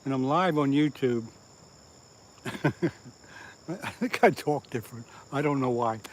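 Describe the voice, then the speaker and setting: A man of middle pitch from southeast Pennsylvania hosts a live fishing stream outdoors by the water. He chats casually, answers viewer comments and laughs at his own jokes. Faint insect noise hums behind him. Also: slow, rough recording. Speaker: fishing livestream host.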